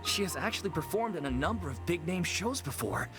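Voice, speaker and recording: A man's voice: fairly high pitch, animated, brisk, lightly acted. A man playing an earnest, downhearted young amateur theater troupe member in an anime video game, polite and hesitant. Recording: music behind the voice.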